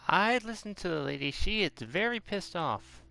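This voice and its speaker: Bright-voiced, creaky man streaming a game. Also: sits high, sing-song, normal speed, poor audio. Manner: casual, muttering reactions to the game. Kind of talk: casual gameplay reaction commentary.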